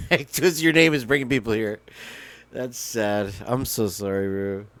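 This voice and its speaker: A man's voice: lowish voice, measured pace, fairly bright tone, no echo. Rambling man with a crackly voice. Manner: rambling, joking, sings off-key. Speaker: livestream host. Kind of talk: casual livestream chat with guitar. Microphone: headphones with mic.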